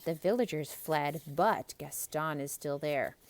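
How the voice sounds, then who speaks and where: A woman reading a children's story aloud in an expressive, theatrical narrating style. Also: deep voice, measured pace. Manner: expressive, dramatic.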